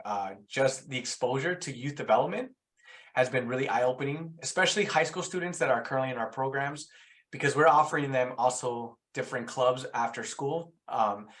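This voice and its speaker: A man's voice: mid-range voice, fairly bright tone. A man talking as a guest speaker on a video-call webinar, hesitant and restarting with fillers. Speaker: youth program guest speaker. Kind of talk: webinar panel talk.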